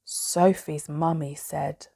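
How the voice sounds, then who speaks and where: Woman reading kids bedtime story, slow, lowish voice, expressive with big pauses. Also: gravelly, breathy edge. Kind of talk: read-aloud children's bedtime story narration.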